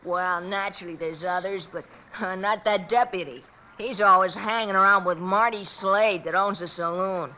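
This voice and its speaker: A sharp, bright young American boy, played by an actor, eager and cheeky. He talks earnestly and full of exclamation in 1950s radio western style. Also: mid-range voice, fairly even intonation, moderate pace, lightly acted, rough recording. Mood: earnest, confiding.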